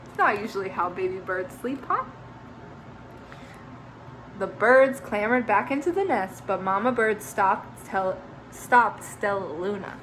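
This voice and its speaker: A woman's voice: mid-range voice, measured pace, long pauses, a little hiss or hum, poor audio. Teacher lady reading a picture book to kids, bouncy sing-song voice, little asides. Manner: expressive, with chatty asides to young listeners. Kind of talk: reading a children's story aloud.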